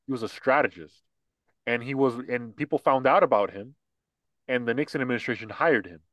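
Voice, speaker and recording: A man's voice: medium pitch, brisk, a bit bright, no room sound, low-quality recording. A man co-hosting a podcast, talking casually and opinionatedly about politics and mocking the people he discusses. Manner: opinionated, mocking, acts out quotes. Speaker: podcast co-host.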